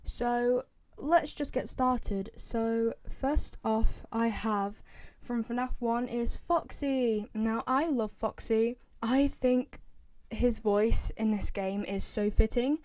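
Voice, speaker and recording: Higher, mellow-voiced young woman, casual commentary. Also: slow pace, no room sound. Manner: informal, emphatic, repeats herself. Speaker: video creator ranking game voice lines.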